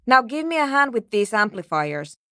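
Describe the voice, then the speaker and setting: An actress playing a cool, scientifically curious young woman programmer in a video game, speaking in a measured, technical, dryly formal way. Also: a little high, big pitch swings, sharp tone, clean tone, no echo, studio quality. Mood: mildly disappointed, brisk. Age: young adult. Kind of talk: video game character voice acting.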